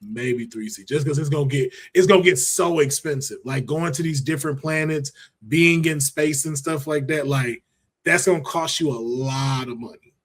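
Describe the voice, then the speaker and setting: A man's voice: a little high, moderate pace. An American man chatting casually as an opinionated podcast panelist, slangy and given to emphatic repetition. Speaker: podcast panelist judging picks.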